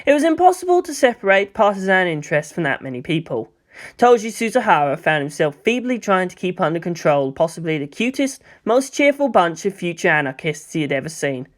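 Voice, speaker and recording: Expressive narrator with very lively pitch. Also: brisk. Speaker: audiobook narrator. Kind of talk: scripted narration of fan fiction.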